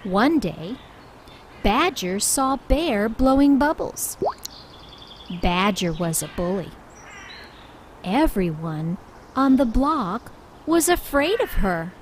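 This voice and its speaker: Very slow, lively woman reading to children. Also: mid-range voice, a little hiss or hum. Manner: expressive, deliberate, with drawn-out emphasis. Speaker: children's story reader. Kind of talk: read-aloud storybook narration for young children. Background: background music.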